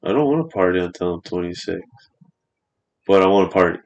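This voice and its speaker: A man's voice: deep voice, lively intonation, fast, creaky, darkish tone. A man in his twenties chatting casually on a podcast in a studio, rambling and swearing freely. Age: 20s.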